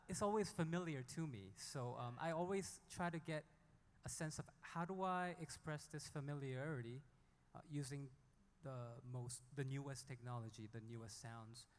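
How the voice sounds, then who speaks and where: A man from South Korea, an indie musician, speaking off the cuff and haltingly on a panel. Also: medium pitch, a little hiss or hum. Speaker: indie singer-songwriter on a panel. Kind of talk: unscripted panel talk. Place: hall at a music conference panel. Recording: echoey hall with long reverb.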